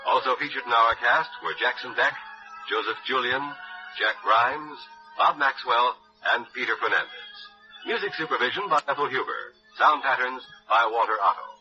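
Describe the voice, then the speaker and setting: A man's voice: medium pitch, normal speed, pushed and bright. An American man working as a radio announcer, reading ads and credits in 1960s broadcast style. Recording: dull old radio broadcast, faint music.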